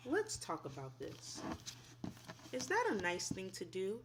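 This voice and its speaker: A woman's voice: mid-range voice, wildly swooping pitch, a little slow, heavy background noise. A woman reading a children's picture book aloud expressively, in an instructive way, asking young listeners simple questions. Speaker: read-aloud storyteller, teacher.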